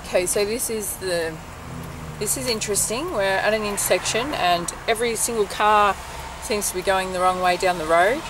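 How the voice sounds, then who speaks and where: A woman's voice: mid-range voice, normal speed. A young adult woman with an Australian accent, chatting casually to camera as a travel vlogger. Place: airports, plane cabin and moving taxi. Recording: noisy airport and vehicle background.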